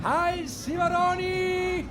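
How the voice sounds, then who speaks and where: A man's voice: very high voice, steady tone, slow, over music. An actor with a Swiss German accent playing a young chestnut seller crying out his wares in a sing-song. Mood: hawking. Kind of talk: sing-song street hawking.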